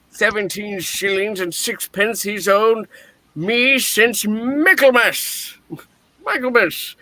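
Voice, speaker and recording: A man's voice: sits high, bright, forceful tone, no echo, heavily acted. A man playing a cranky, miserly old boss in a radio-play reading, blustering and snappish with bitter scorn. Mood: stern, grumbling.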